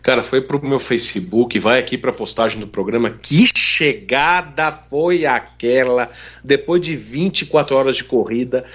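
A man's voice: medium pitch, a little slow, fairly bright tone, dull audio. A Brazilian man hosting a motorsport show, chatting animatedly and laughing often. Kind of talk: casual podcast chat.

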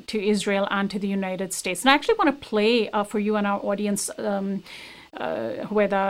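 Measured woman news host. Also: medium pitch. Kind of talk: news interview hosting.

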